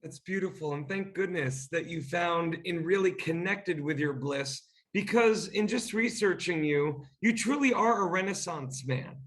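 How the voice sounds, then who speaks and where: A man's voice: high-pitched, a little slow, hardly any pauses, a bit bright, rough recording. A man hosting an interview, effusive and eager, heaping praise on his guest. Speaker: interview host.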